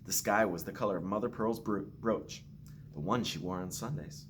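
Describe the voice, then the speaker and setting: A man's voice: fairly low pitch, normal speed, noisy background. A man reading a children's storybook aloud expressively, with playful asides. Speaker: school staff member reading aloud to children.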